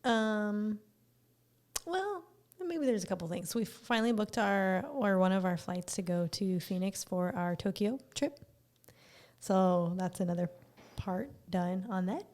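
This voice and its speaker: A woman with a relaxed, mellow voice that has a slight rasp and a tired edge. She chats informally as a podcast co-host, keeping things low-key at a middling pitch. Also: measured pace. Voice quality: slightly raspy. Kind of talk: casual chat.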